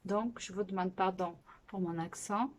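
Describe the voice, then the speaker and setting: A woman from Portugal speaking French with a Portuguese accent, hosting her knitting podcast in a casual monologue that often pauses and restarts. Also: mid-range voice. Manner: unhurried, with frequent pauses and restarts.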